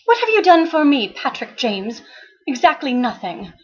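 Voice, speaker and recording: A woman voicing a fiery, defiant madam in a story reading, shrill, commanding and dramatic. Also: very animated, normal speed, muffled recording. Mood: indignant, scornful.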